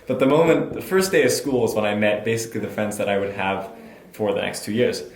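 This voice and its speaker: A man's voice: lowish voice, lively intonation, fast talker. A young man speaking thoughtfully in a documentary interview, with stumbling restarts. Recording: echoey room.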